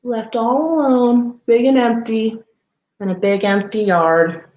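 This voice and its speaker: A woman's voice: a little high, highs cut off. A woman hosting a children's story time, reading aloud expressively and addressing young listeners directly, in a roomy livestream recording. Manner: expressive, pausing to point out pictures to young listeners. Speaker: parks foundation outreach coordinator hosting story time. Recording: roomy, echoey livestream sound.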